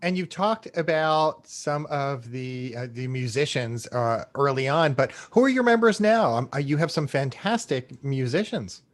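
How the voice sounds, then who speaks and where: A man's voice: medium pitch, animated, measured pace, hardly any pauses. A man hosting an interview, putting questions to his guests in a conversational way. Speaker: interview host.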